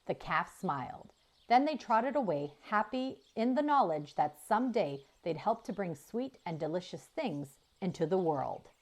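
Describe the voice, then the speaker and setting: A woman's voice: lowish voice, sing-song, a little slow, a little mellow. A woman narrating a children's book as a scripted read-aloud. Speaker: storybook narrator.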